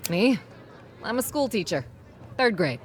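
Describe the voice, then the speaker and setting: An actress playing a spirited young rebel schoolteacher in a video game, plain-spoken, folksy and earnest. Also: female voice, mid-range voice, very animated, unhurried, faint music behind, a faint crowd. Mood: amused, friendly. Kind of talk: video game character dialogue.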